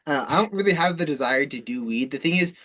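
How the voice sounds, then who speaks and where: A man's voice: on the high side, expressive, fast talker, sharp tone. A man hosting a self-improvement call, explaining things off the cuff in a rambling, informal way with frequent swearing. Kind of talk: casual, unscripted explanation on a call.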